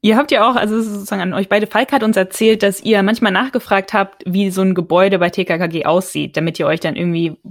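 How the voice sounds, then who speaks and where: A woman's voice: mid-range voice, fairly even intonation, quick pace, hardly any pauses, no room sound. A woman hosts a podcast interview, her voice dry and close-miked. She asks curious, open questions and rephrases as she hunts for the right words. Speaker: podcast host. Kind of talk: casual interview chat.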